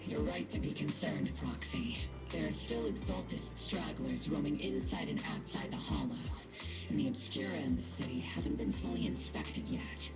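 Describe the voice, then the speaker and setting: A woman voicing a stern, dutiful, weary but caring female army captain in a video game, with a low husky voice. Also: expressive, moderate pace, poor audio. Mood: grave. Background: game music.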